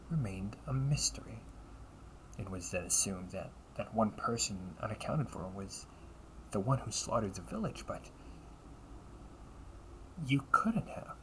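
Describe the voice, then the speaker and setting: A man playing a composed, shrewd demon hunter who questions in a measured, deliberate, probing way in an audio roleplay. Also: fairly low pitch, slight background noise, low-quality recording. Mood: grave, pressing. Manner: measured, deliberate, probing, with pauses and hushed phrase endings. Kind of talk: audio roleplay, scripted character monologue addressed to listener.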